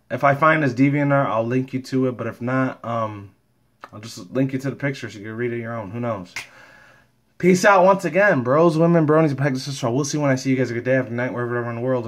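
A man with a medium-pitched voice hosts a casual fan vlog. He rambles through his commentary in loose slang. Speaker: YouTube host and fan comic dubber.

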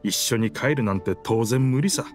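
A man voicing a stranded talking boat with a sailor's spirit, rough in phrasing, hearty and wistful, in a Japanese anime game. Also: medium pitch, normal speed, faint music behind, natural voice. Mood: wistful, regretful.

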